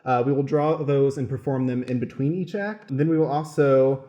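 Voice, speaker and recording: A man's voice: mid-range voice, steady tone, fairly quick, warm tone. A man hosting a podcast as stage manager, explaining how the show works. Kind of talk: podcast introduction, explaining format.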